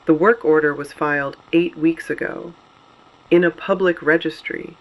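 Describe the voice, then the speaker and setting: Creaky-voiced, solemn resistance broadcaster played by a man. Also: a little high, steady tone, close to an everyday voice, rough recording. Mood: solemn, measured. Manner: measured, solemn, deliberate phrasing. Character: grave adult male resistance broadcaster reporting on fallen cities. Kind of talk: scripted dystopian radio broadcast narration.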